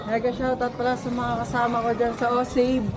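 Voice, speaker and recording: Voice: mid-range voice, monotone, fairly quick, crowd noise. A vlogger chatting casually while walking around outdoor resort grounds, pointing things out along the way. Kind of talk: casual walking tour chat. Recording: loud outdoor noise and music.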